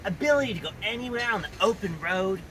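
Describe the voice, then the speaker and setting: A woman's voice: mid-range voice, animated, fast talker, fairly bright tone, slight background noise, lightly acted, rough recording. A woman playing an easygoing, self-deprecating human friend in a puppet cartoon, with a casual, rambling manner. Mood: candid. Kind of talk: animated puppet comedy acting.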